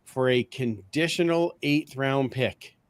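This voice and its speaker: A man's voice: mid-range voice, no room sound. Easygoing man hosting, close dry sound. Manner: easygoing, quick to chuckle, repeats phrases. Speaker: podcast host. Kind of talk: casual sports podcast chat.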